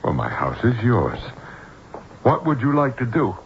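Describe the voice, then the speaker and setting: An actor playing a brisk, jumpy American businessman and husband in an old-time radio drama. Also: medium pitch, wildly swooping pitch, gravelly, full character voice. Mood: genial, curious. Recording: muffled, noisy old radio broadcast.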